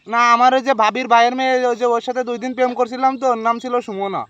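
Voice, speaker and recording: A man's voice: very high voice, fairly even intonation, very fast, fairly bright tone, dry recording, muffled recording. A man playing a jilted young village boyfriend on a prank call, pleading, repetitive and melodramatic. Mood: aggrieved, whiny. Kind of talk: prank phone call acting.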